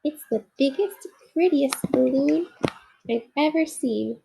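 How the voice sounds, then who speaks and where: A woman's voice: sits high, slow, vocal fry, dark tone, slight background noise, pitched above the performer's own voice, full character voice, poor audio. A woman voicing a small, earnest toy bear with a wondering, childlike manner in a storybook. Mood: eager, pleased.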